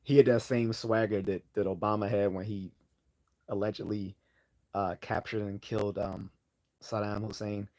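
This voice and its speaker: A man hosting a casual live Q&A, hoarse from losing his voice, rambling with many fillers and restarts as he answers chat questions. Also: on the low side, flat delivery, normal speed, mellow.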